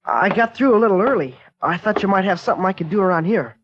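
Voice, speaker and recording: A man's voice: high voice, lively intonation, fast talker, noisy background. An actor playing an eager, polite teenage boy who hustles odd jobs, in a 1940s radio play. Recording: dull old radio broadcast.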